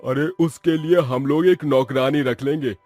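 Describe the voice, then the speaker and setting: A man's voice: medium pitch, normal speed, darkish tone, soft background music, pitched above the performer's own voice, close to an everyday voice. A man voicing an exasperated, scheming middle-aged husband in a cartoon family comedy, with emphatic, complaining delivery. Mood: eager, persuasive.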